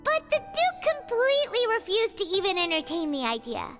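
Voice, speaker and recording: Very high, animated little companion. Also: female voice, normal speed, over music, heavily acted. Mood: explanatory, smug. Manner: emphatic, childlike. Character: small excitable high-pitched fairy-like child companion, earnest and inquisitive. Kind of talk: video game voice acting.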